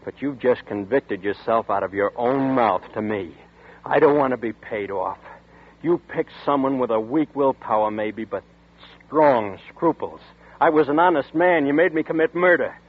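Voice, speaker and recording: A wronged, bitter man bent on revenge, played by a man in an old 1940s radio thriller, with a husky, breathy edge to his tense, defiant lines. It comes through thin and tinny, like a vintage broadcast. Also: mid-range voice, moderate pace, close to an everyday voice. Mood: vengeful. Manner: tense, bitter, defiant, then dazed narration. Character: desperate honest man turned murderer, seeking revenge.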